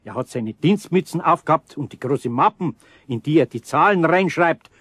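An older Bavarian master craftsman in a children's radio drama. His voice is husky and fairly high for a man, closely and dryly recorded, grumbling yet good-natured. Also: lively intonation, normal speed, fairly bright tone, dry recording, clean studio sound. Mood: mild scolding. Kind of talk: German children's radio play.

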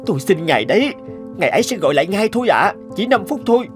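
A nervous young male cartoon office aide with a high, swooping voice. He is deferential and imploring, recorded dry over music. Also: very animated, fast talker. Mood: anxious pleading. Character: anxious, pleading young male office assistant. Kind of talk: cartoon voice acting.

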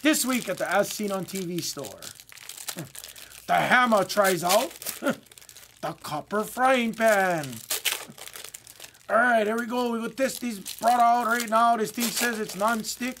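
Give me a man putting on a loud Hawaiian Pidgin infomercial host, pitched up high above his usual voice. Brash and blunt, he hands down joking verdicts on the gadgets he tests. Wrappers crinkle behind him. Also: slow, long pauses, noisy background. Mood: hyped.

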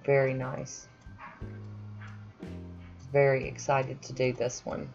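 Woman, very low pitch, casual friendly voice-over, self-deprecating, faint background music. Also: completely flat delivery, takes it slowly, gravelly, darkish tone, highs cut off. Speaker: nail art vlogger. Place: home manicure station, voice-over.

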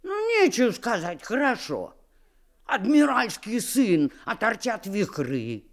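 An actress playing a fond, fussing nanny in a radio drama, folksy and gently scolding. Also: female voice, mid-range voice, sing-song, slow, exaggerated voice. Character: fussing, fond peasant nanny of a young boy. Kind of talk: Soviet radio drama acting.

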